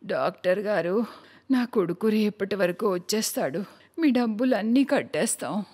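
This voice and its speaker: A woman's voice: medium pitch, expressive, moderate pace, a little mellow, husky. Cartoon moral tale: sour old mother-in-law, doting on her own daughter, reverberant sound. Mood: anxious. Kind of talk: cartoon moral story voice acting.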